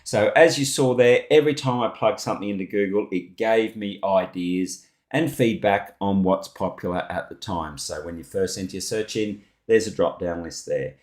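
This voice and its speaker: Australian male tutorial host, very low register, informal and unscripted, roomy echoing sound. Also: normal speed, few pauses, a little mellow. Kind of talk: casual unscripted screen-share tutorial.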